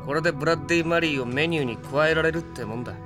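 A man's voice: fairly high pitch, rapid-fire, music in the background, natural voice. A man playing a cocky, sardonic gunslinger for hire in a Japanese audio drama, with a swaggering, taunting manner.

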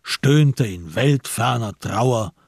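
A man narrating a crime radio play in a measured, literary manner. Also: medium pitch, animated, husky, dry recording, clean studio sound.

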